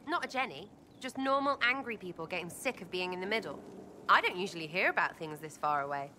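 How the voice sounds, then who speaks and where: A woman's voice: fairly low pitch, expressive, quick pace, pushed and bright, slight background noise. A woman voicing a cheeky, irreverent young elf rogue in a video game, breezy and slangy with a British accent. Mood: playful. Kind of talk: video game dialogue acting.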